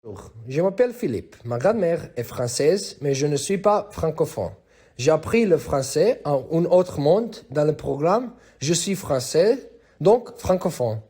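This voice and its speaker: Lively man, breathy. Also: on the high side, unhurried, faint music behind. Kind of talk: personal testimony.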